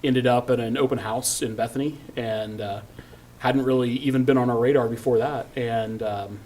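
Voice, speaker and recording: A man from Oklahoma running for council, speaking hesitantly with many pauses. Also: mid-range voice, fairly even intonation, a little mellow. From Tulsa, Oklahoma. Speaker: council candidate.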